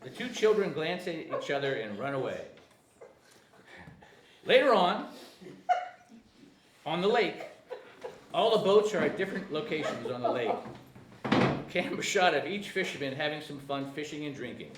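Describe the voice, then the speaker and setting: Man reading stage directions, higher-pitched, animated intonation, amateur table read, echoey room. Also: moderate pace, pauses often. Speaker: narrator. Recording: echoey room, faint noise.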